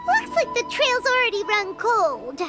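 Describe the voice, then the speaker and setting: A woman voicing a tiny, excitable floating fairy companion in a video game, with a chattery, exclamatory manner. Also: very high-pitched, a little slow, exaggerated voice. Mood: chatty. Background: game soundtrack music.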